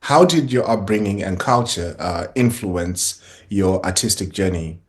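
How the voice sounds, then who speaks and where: A man hosting a casual interview podcast, asking questions in a relaxed way with plenty of fillers. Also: low voice, measured pace. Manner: relaxed, many fillers like um and you know. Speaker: podcast host.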